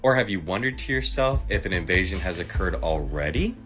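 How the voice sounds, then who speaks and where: Deep-voiced man ranting with rhetorical questions. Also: lively intonation, brisk, fairly bright tone, faint music behind. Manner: insistent, rhetorical questions, sarcastic. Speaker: TikTok commentator. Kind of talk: political rant to camera. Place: clip played within a compilation.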